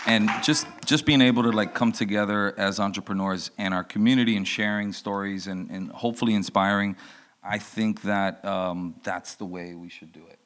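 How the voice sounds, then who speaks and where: A man's voice: fairly low pitch, fairly even intonation, moderate pace, a bit bright. A man in his forties from Newark, New Jersey, telling his story as a guest on an entrepreneurs' panel in an easygoing, reflective way. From Newark, New Jersey, USA. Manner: reflective, community-minded, easygoing.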